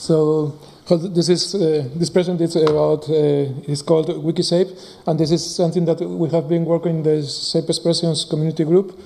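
A man presenting at a conference, halting with many pauses. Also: fairly high pitch, flat delivery, vocal fry, darkish tone. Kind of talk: conference talk.

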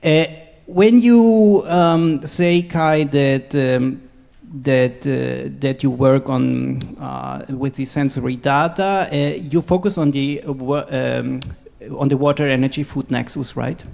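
A man from Costa Rica moderating a conference panel, introducing and questioning guests in a hesitant, halting way with frequent stops mid-phrase. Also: mid-range voice, fairly even intonation, creaky, darkish tone.

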